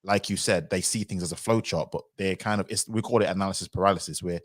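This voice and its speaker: A man's voice: low voice, steady tone, fast, dry recording, clean studio sound. A man hosting a livestream in casual conversation, reflectively working through his reasoning step by step. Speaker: livestream host.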